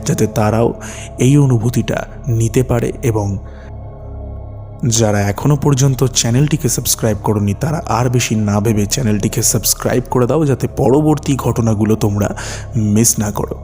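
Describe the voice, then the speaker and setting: A man narrating stories as a horror podcast host, in a measured, deliberate and dramatic way with pauses between short phrases. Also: mid-range voice, mellow. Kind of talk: slow dramatic storytelling narration. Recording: long reverb with music behind. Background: background music.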